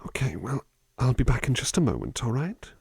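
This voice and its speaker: A man playing a gentle, attentive butler in an ASMR roleplay, musing in a polite, hesitant, formal way into a close microphone. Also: mid-range voice, huge pitch swings, brisk, gravelly, slightly rough, no echo, heavily acted. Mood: politely attentive. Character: gentle, musing, attentive male butler looking after someone. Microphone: close dry microphone.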